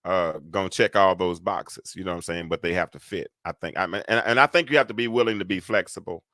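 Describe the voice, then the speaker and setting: A man's voice: low voice, fast, pushed and bright, dry recording, clean studio sound. A man hosting a casual live talk, rambling with lots of fillers and restarts. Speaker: host.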